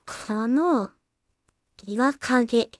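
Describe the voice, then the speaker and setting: A woman's voice: fairly high pitch, extremely slow, dry recording, clean studio sound. A woman creator casually thinking aloud as she works, muttering hesitantly to herself with polite asides to viewers. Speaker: solo animation creator and streamer.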